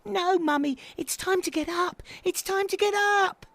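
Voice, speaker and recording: A storyteller voicing an excitable, impatient little boy owl in an insistent, exclamatory way for a children's story. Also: very high-pitched, unhurried, no room sound, voice pushed up, full character voice. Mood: excited, insistent.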